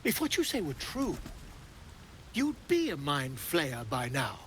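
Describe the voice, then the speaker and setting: A man's voice: on the high side, huge pitch swings, slow, fairly bright tone, slight background noise, full character voice. A man voicing a pompous, theatrical bard and scholar in a fantasy game, with florid, verbose delivery. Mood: intrigued, self-assured. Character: flamboyant, pompous bard and self-styled scholar, grandly theatrical.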